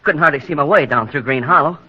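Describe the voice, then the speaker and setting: Shaken husky rustic driver. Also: male voice, a little high. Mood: shaken, dazed. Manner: rustic, halting. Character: shaken, slow-talking rustic milk-truck driver. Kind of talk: 1940s radio drama acting. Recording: muffled vintage radio.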